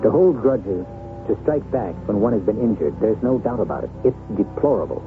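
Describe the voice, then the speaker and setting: Low, theatrical man on tinny old radio. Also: expressive. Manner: dramatic, measured, rhetorical. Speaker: host and announcer. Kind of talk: theatrical scripted radio narration. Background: music.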